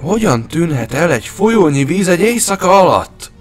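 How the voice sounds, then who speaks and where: Heartfelt young village man, lively intonation. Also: on the high side, faint music behind. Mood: alarmed. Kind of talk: animated fairy tale dub.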